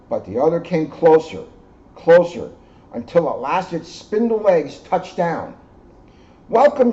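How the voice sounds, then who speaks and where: A man in his sixties, a police officer reading aloud to children and commenting informally in an earnest, expressive way. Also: mid-range voice. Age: 60s. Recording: muffled, noisy amateur video sound.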